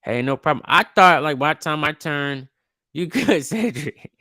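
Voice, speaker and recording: A man in his twenties hosting a podcast, talking in a relaxed, slangy way with lots of restarts. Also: a little high, normal speed, no echo, studio quality. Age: 20s. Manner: laid-back, slangy, rambling with restarts. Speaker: podcast host. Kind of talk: casual interview chat.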